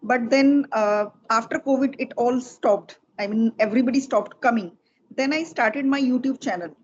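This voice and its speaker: A woman's voice: a little high, normal speed, a little mellow. A middle-aged woman from Delhi with an Indian accent, chatting as a spoken English teacher being interviewed. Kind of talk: casual conversational interview in English. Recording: dull lo-fi sound with faint noise.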